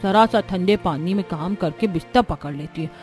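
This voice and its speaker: A woman's voice: fairly low pitch, moderate pace, darkish tone, soft background music, close to an everyday voice. A woman voicing a young cartoon sister-in-law with a sneering, complaining manner. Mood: spiteful. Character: young spiteful sister-in-law, grumbling about the bride.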